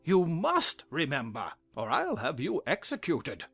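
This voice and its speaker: A man's voice: fairly high pitch, wildly swooping pitch, measured pace, vocal fry, fairly bright tone, slightly rough, no room sound, soft background music, heavily acted. A man playing a pompous, testy, dim-witted king who commands a court in an audio drama.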